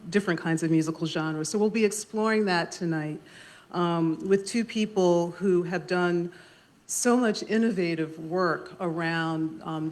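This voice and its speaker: Soft-spoken female moderator, lower voice, measured delivery with pauses, at a live library event. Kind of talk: panel discussion opening talk. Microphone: handheld mic.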